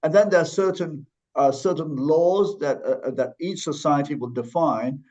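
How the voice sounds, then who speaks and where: A man's voice: on the high side, normal speed, a little mellow, lo-fi sound. A man from Malaysia speaking as a podcast guest, thoughtful, with frequent restarts and fillers. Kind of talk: conversational interview.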